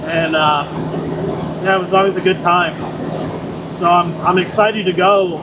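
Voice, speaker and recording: A man's voice: high-pitched, fairly even intonation, measured pace. A man in his forties talking casually in a vlog, rambling and joking about getting older. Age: 40s. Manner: chatty, rambling, jokes about his age. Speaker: vlogger. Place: at an outdoor car show. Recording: noisy outdoor car show.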